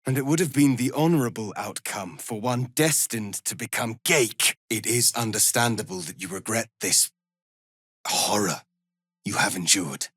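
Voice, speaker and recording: A man's voice: fairly low pitch, moderate pace, fairly bright tone, breathy edge. A man playing a proud, embittered alien warrior prince in a fantasy video game, with grave, formal, imperious phrasing. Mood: grave, respectful.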